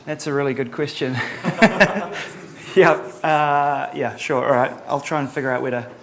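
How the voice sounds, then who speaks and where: A middle-aged man from New Zealand with a Kiwi accent, giving a relaxed conference talk with lots of ums and a dry sense of humor. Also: medium pitch, moderate pace, vocal fry, a crowd in the background. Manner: casual, many ums and uhs, dry humor. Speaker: conference presenter.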